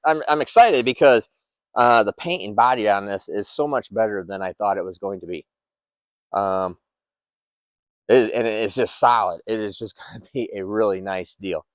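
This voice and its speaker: A man's voice: medium pitch, no room sound, clean studio sound. A man in his fifties, an engine builder in his workshop, walking through a project car in a rambling, enthusiastic way with lots of fillers and restarts. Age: 50s. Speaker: engine builder and shop owner. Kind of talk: casual walkaround of a project car.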